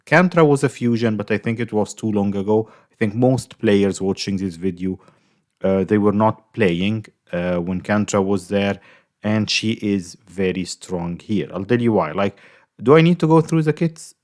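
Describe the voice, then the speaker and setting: Young Egyptian man with an Egyptian accent, gaming YouTuber, casual rambling guide talk, slightly low voice. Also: normal speed, darkish tone. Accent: Egyptian Arabic. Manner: chatty, rambling, many fillers and restarts.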